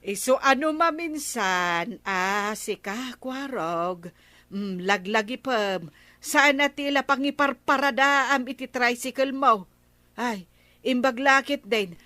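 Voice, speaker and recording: A woman's voice: a little high, expressive, slow pace, sharp tone, no room sound, heavily acted. An actress with an Ilocano accent playing a scolding, emphatic village woman in a radio comedy drama. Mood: admonishing.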